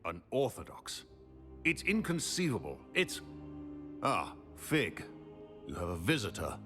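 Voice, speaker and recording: An actor playing a sceptical, blustering wizard in a game cutscene, exclaiming in disbelief. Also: male voice, on the low side, huge pitch swings, unhurried, over music. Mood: incredulous. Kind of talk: dramatic game cutscene acting.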